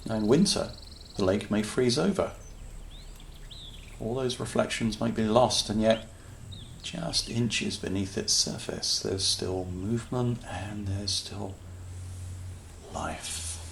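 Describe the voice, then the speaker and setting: A man leading a guided meditation in a calm, soothing manner, leaving long pauses between short phrases. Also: lowish voice, creaky, slight background noise. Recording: nature ambience with birdsong behind voice. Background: natural sounds, birds.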